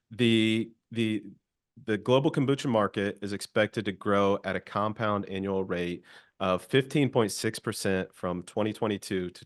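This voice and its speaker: A man hosting a casual interview, speaking in an upbeat, informal way with frequent repeated words. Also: fairly low pitch. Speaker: interview host.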